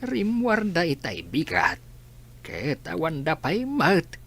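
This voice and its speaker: Older Ilocano village uncle for radio drama, played by a man: husky, lively swoops in pitch, worried and fed up. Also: takes it slowly, exaggerated voice. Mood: exasperated, worried. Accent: Filipino, Ilocano.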